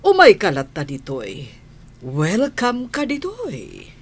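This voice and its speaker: A woman's voice: fairly low pitch, huge pitch swings, slow pace, a little hiss or hum, full character voice. An actress playing a caring, motherly middle-aged auntie in a radio drama, giving advice in a reassuring manner. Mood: gentle, reassuring.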